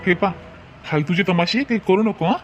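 A man's voice: high-pitched, a little fast, over music, lightly acted. A man playing a hospitable, firm village uncle in a cartoon comedy, insistent and matter-of-fact, with a Varhadi Marathi accent. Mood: stern warning. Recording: dull lo-fi sound, faint noise.

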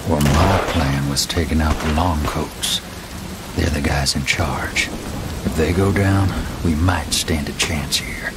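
A man's voice: very deep voice, slow pace, full character voice. An actor playing a cool Western hired gunman in a video game, dry and sarcastic in delivery. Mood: dry, sardonic. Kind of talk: dramatic video game cutscene acting. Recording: rain and thunder noise behind.

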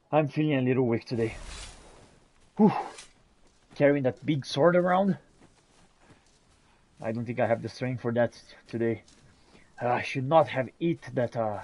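Mellow man roleplaying through a fantasy game. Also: mid-range voice. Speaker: gamer roleplaying a character in a video game. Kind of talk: in-character roleplay narration of fantasy gameplay.

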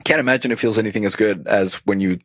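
A man in his thirties, originally from Colombia, a stand-up comedian telling stories in a casual interview, animated and laughing often as he acts out what people said. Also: fairly low pitch, quick pace, dry recording. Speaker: podcast guest, stand-up comedian.

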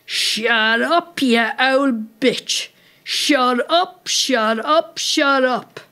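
A woman's voice: mid-range voice, slow pace, a bit bright. A woman voicing a bad-tempered, snarling Irish night watchman in a story, with an Irish accent. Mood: furious. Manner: snarling, abusive.

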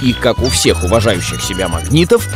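A man's voice: medium pitch, wildly swooping pitch, fairly quick, over music. A man playing a playful science explainer, narrating an educational children's cartoon in a didactic, scripted way. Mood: explanatory, playful.

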